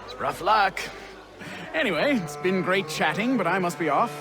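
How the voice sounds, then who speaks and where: Glib, high-voiced rogue. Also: male voice, expressive, normal speed, over music. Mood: breezy. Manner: breezy, offhand. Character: glib, shameless male apprentice rogue. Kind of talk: video game cutscene acting.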